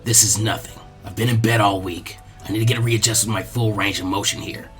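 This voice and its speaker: A man voicing a gruff, menacing ninja swordsman in an audiobook-style story, with a stern, warning growl. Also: fairly low pitch, steady tone, a little fast, fairly bright tone, faint music behind, pitched below the performer's own voice, lightly acted.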